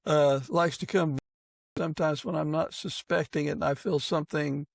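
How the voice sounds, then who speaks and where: A man with a creaky, slightly high voice hosts a casual interview podcast. He talks in a halting way, stopping partway through sentences, and the sound is close and dry. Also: steady tone, no echo. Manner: halting, pausing mid-sentence. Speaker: podcast host.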